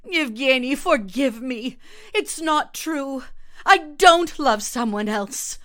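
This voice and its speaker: A woman's voice: high voice, lively intonation, takes it slowly, bright, forceful tone, voice pushed up, full character voice. A woman reading a short story, voicing a volatile lovelorn woman who is coy, haughty and theatrical. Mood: ecstatic pleading. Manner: theatrical, swinging between coy and fierce.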